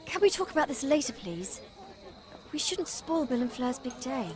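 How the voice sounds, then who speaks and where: A woman's voice: high voice, big pitch swings, moderate pace. A woman playing an earnest, caring, bossy teenage witch in a video game. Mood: chiding. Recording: loud game music behind.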